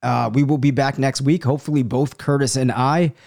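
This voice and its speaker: A man's voice: mid-range voice, steady tone, brisk, creaky, a little mellow. A man hosting a live-streamed podcast, chatting casually while losing his voice to a cold and thinking aloud with many ums. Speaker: podcast host.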